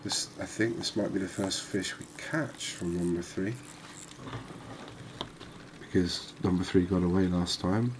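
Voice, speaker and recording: Low-pitched, slow, monotone ASMR man. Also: background noise. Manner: soft-spoken, unhurried, soothing. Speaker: gaming video host. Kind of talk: ASMR gameplay commentary.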